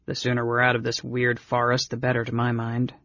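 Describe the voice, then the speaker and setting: A man voicing a big, anxious, good-hearted warrior twin in a storybook, with a gruff, blustering, muttering manner. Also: medium pitch, flat delivery, moderate pace, a bit bright, no room sound, muffled recording. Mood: wary grumbling.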